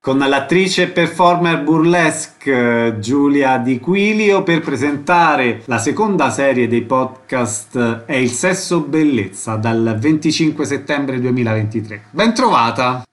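A man's voice: medium pitch, animated, measured pace, few pauses, faint music behind. A man hosting a radio interview, putting concise questions and reading out announcements.

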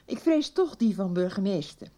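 A woman's voice: mid-range voice, big pitch swings, moderate pace, warm tone, close to an everyday voice. A woman acting in a 1990s radio soap as a worried, insistent businesswoman protecting her company's name.

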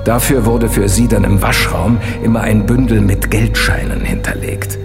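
A man's voice: fairly low pitch, fairly even intonation, normal speed, lightly acted. A man playing a worried, earnest and friendly senator and former intelligence official in a German audio drama. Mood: grave. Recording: long hall reverb, music underneath. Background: clear background music.

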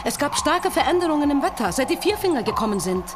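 A woman's voice: high-pitched, big pitch swings, fast. An actress playing an earnest, thoughtful island woman who speaks in a musing way in a German radio drama. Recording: music behind the voice.

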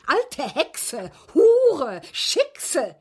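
An actress playing a genteel, aggrieved widow in a German radio drama, speaking in precise, formal, dramatic phrases with a husky voice. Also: female voice, high-pitched, wildly swooping pitch, extremely slow, exaggerated voice. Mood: indignant, distressed. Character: genteel, aggrieved widow recounting her captivity.

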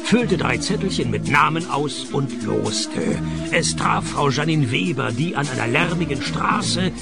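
An actor playing a cynical, hard-up private detective with deadpan wit, narrating and talking in a scripted radio play. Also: male voice, a little high, a little slow, creaky, loud music behind the voice. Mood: sardonic. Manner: deadpan, sardonic, dry wit.

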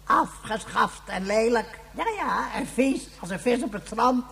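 A man playing a mocking, offended old man in a radio play, with a creaky, eerie voice and a theatrical, repetitive way of talking. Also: very high-pitched, a little slow, fairly bright tone, heavily acted. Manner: obsessively repeats insults, theatrical, sardonic. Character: embittered, offended, mocking old man who refused rejuvenation.

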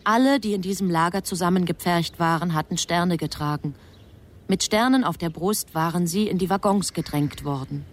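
An actress playing a composed, knowing storyteller, narrating a German radio drama in measured phrases. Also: female voice, lowish voice, close to an everyday voice. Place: studio.

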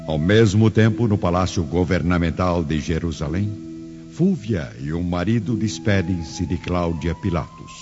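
Very deep solemn male narrator. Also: moderate pace. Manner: solemn, theatrical. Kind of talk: dramatic scripted narration. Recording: dull lo-fi radio drama, music underneath.

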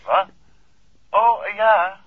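An actor playing an affectionate, bantering husband, an urbane detective, heard through a telephone filter in a 1960s Dutch radio play. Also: male voice, high voice, steady tone, very slow, no room sound, exaggerated voice. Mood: jovial, teasing. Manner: jovial, teasing. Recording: tinny, dry telephone line, vintage radio.

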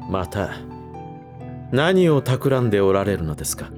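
Courteous butler, firm, coolly protective, lowish pitch, lively intonation, formal speech, voice drama. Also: male voice, measured pace, over music. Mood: coldly protective. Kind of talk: Japanese voice drama acting.

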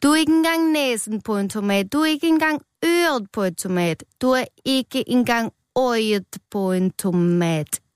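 Woman putting on a scornful, higher-pitched pizza topping. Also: hardly any pauses, a little mellow, smooth, no echo, studio quality, natural voice. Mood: mocking. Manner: scornful, theatrical. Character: bossy, jeering Italian pepperoni and tomato toppings.

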